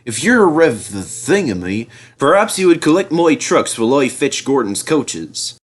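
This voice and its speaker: A brisk little tank engine in a children's storybook, read by a man. He is proud and easily cross, with a curt, slightly husky voice that moves up and down in lively fashion. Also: mid-range voice, voice pushed up. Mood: dry. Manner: curt, matter-of-fact.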